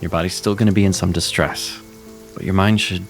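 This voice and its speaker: Fantasy audio drama mentor mage, male, played by a man: very deep, rough creak, calm earnest reassurance. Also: a little fast. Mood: soothing. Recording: music and rain behind the voice. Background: music, rain.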